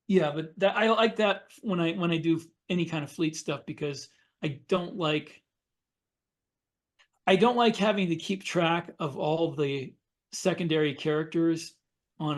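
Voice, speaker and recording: Slightly higher-voiced man, halting podcast talker. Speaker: podcast panelist, science fiction author. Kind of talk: casual podcast discussion.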